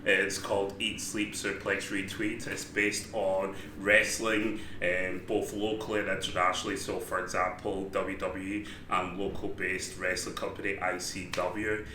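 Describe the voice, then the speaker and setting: A man's voice: sits low, flat delivery, measured pace, few pauses, reverberant, background noise. A man in his late twenties with a Glaswegian Scottish accent, chatting casually about his daily routine with plenty of ums and a self-deprecating streak. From Scotland. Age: 20s. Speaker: interviewee describing his day. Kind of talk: casual monologue.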